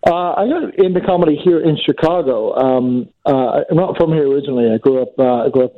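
A man's voice: a little high, a little fast, dry recording. Male comedian calling in, thin phone-line sound, rambling style. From Northern Ireland and England. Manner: self-deprecating, rambling with fillers. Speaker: comedian guest. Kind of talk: casual phone interview.

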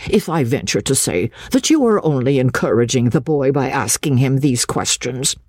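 Village schoolmaster, male acted by a narrator, fussy and uneasy, formal protests, lively pitch, husky, dry storybook recording. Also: normal speed, no echo. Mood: resolute. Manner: formal, remonstrating. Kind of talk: audiobook character dialogue.

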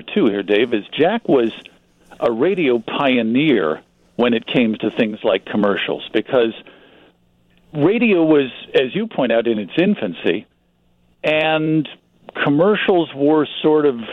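A man giving informative, measured answers as a guest in a radio interview. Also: medium pitch, no room sound, narrow, tinny audio. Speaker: magazine publisher, radio history guest.

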